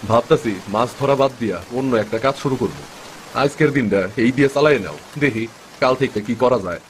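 A man's voice: medium pitch, a little fast, slight background noise, close to an everyday voice. A man playing a poor, good-natured village fisherman and father in a cartoon, speaking plaintively with a rural eastern Bengali accent. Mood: meek. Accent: rural eastern Bengali (Bangladeshi). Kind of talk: dramatic cartoon story dub.

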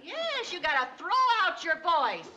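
A woman playing a sharp-tongued, sarcastic singer in a 1960s sitcom. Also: sits high, wildly swooping pitch, measured pace, very noisy, heavily acted. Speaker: quartet member. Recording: studio sitcom with music and audience laughter.

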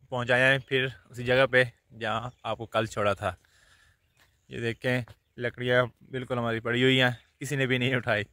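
A man vlogs from an open farm field, speaking into a phone he holds at arm's length. His voice sits at an ordinary middle pitch, and his talk is chatty and easygoing, full of pointing and small laughs. A faint outdoor hum runs underneath. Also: a bit bright. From Punjab, Pakistan. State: tired, out of breath after field work. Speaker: village vlogger. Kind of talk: casual chat, talking to viewers. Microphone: phone held at arm's length.

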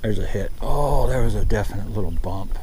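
A middle-aged man chatting casually as he fishes from a small boat on a river, rambling along and getting excited when a fish bites, recorded on an action camera outdoors. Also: fairly low pitch, a little fast, a little hiss or hum. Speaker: fishing vlogger. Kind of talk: casual running commentary while fishing. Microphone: body-mounted action camera.

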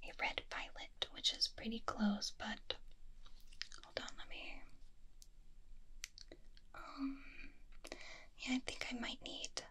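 A woman in her twenties doing a soft, doting, breathy ASMR personal-attention roleplay, close on a binaural microphone. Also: a little high, steady tone, takes it slowly, long pauses. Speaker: ASMR performer.

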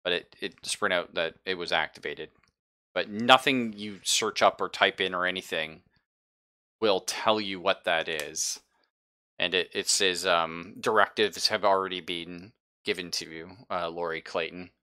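A man's voice: low-pitched, measured pace, bright, forceful tone, smooth. A man narrating a tabletop roleplaying game as game master in a casual, informal, sweary way. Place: online game session, streamed.

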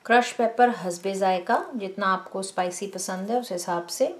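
A woman hosting a home cooking show, calmly explaining each step and addressing her viewers directly. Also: mid-range voice, moderate pace. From Pakistan. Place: home kitchen.

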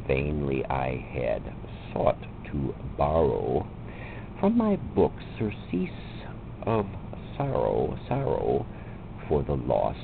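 A middle-aged man with a gravelly voice giving a theatrical, halting poetry reading with drawn-out phrases and emphatic swells. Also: rumbling low. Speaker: amateur poetry reader. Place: indoors at home. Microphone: camera mic very close to the face. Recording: muffled lo-fi webcam audio, loud background noise.

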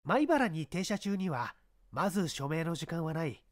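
男が演じる抑揚豊かで自信満々の警部. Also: male voice, on the high side, very animated, normal speed. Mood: confident, explanatory. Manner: emphatic, explanatory, rhetorical. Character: confident male police inspector expounding his murder deductions. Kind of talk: dramatised detective story reading.

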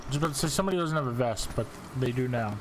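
A man's voice: mid-range voice, animated, racing. A man giving casual callouts and banter to teammates in game voice chat. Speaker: gamer in voice chat. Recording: game noise behind voice chat.

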